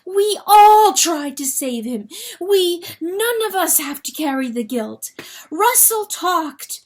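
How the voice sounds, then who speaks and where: A woman's voice: very high-pitched, sing-song, slow pace, voice pushed up, exaggerated voice. A woman voicing a loving, stubborn hen in distress, pleading and insistent, in a dramatic animal fantasy audiobook. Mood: loud defiance.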